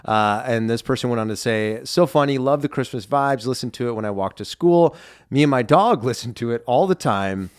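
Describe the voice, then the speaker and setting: A man's voice: medium pitch, brisk, clean tone, no echo, clean studio sound. Enthusiastic man co-hosting a podcast. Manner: enthusiastic, gushing. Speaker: podcast co-host. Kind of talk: casual podcast chat. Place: podcast studio.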